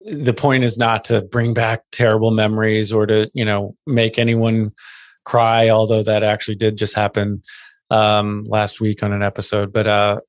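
A man's voice: fairly low pitch, little pitch movement, moderate pace, no echo, clean studio sound. Rambling man hosting a podcast. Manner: rambling, filler-heavy questions. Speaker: podcast host. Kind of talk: conversational interview.

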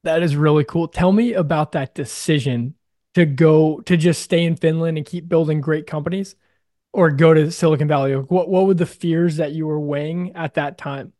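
A man's voice: fairly high pitch, steady tone, moderate pace, dark tone, no room sound, clean studio sound. A man hosting a startup podcast, upbeat and casual, sometimes hesitant as he frames his questions. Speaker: podcast host. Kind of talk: podcast interviewing and intro narration.

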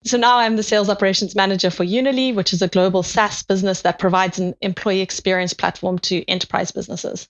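A woman from South Africa with a South African accent, a guest on a podcast giving measured, methodical answers about her career. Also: mid-range voice, steady tone. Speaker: guest, sales operations manager.

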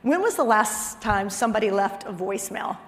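A woman's voice: mid-range voice, animated, fairly quick. A woman giving a business keynote, speaking emphatically with repeated phrases and conversational asides. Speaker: chief marketing officer giving a conference talk. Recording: reverberant hall sound.

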